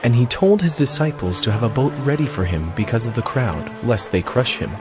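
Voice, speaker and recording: A man narrating scripture as a solemn story, with clear phrasing, in a dramatized audio reading. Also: medium pitch, lively intonation, brisk. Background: music.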